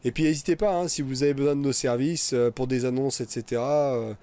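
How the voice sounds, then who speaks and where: A man playing a polite, eager newspaper reporter in a role-play game, interviewing courteously in halting, formal phrases. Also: medium pitch, mellow, natural voice.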